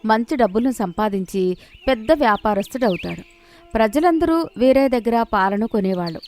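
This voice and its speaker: A woman's voice: a little high, animated, normal speed, darkish tone, slight background noise. A woman narrating a scripted moral story. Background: faint background music.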